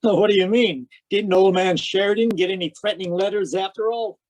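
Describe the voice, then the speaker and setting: A man's voice: high voice, fairly even intonation, a little fast, darkish tone, dry recording, close to an everyday voice, low-quality recording. A man playing a chatty, curious police station colleague in a radio mystery, casual and inquisitive. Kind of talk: 1940s radio mystery acting.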